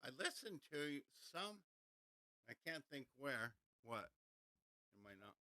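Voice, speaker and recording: A middle-aged American man in casual podcast talk. His voice is forward and bright, recorded close and dry, and he speaks haltingly, doubling back on his words. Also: mid-range voice, sing-song, dry recording. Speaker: podcast co-host. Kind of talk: casual chat.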